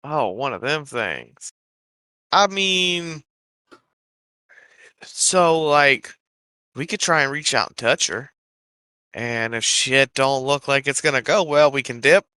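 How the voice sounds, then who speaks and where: A man's voice: medium pitch, animated, takes it slowly, long pauses, sharp tone, clear, resonant tone, dry recording. A man playing a tabletop role-playing game, talking in casual, slangy, profane banter.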